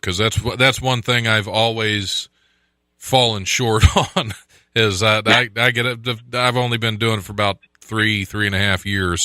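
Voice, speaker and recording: A man co-hosting a podcast, a newer comic, asking questions in a halting, stumbling way. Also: on the low side, bright, forceful tone, no room sound, studio quality. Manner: hesitant, stumbling, asks questions. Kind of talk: casual podcast interview.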